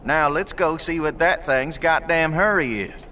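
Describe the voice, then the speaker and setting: An actor playing a gruff, hot-tempered American truck owner in an audio drama, talking in a folksy, indignant way. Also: male voice, fairly high pitch, a little slow, natural voice. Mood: grudging resolve. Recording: clear background noise, vehicle ambience.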